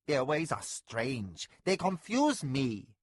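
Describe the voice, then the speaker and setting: A man's voice: medium pitch, big pitch swings, slow pace, dry recording, studio quality. A man voicing an anxious male overseer who pleads and protests in a whining voice. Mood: aggrieved. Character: anxious male overseer servant, fawning and aggrieved.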